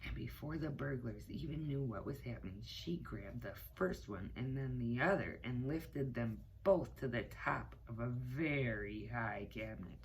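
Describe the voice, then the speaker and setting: Middle-aged female story time reader, unhurried delivery, slight room noise. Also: a bass voice, low-quality recording. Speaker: children's story time reader, teaching assistant. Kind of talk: read-aloud of a children's picture book with asides to listeners. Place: small cluttered room indoors.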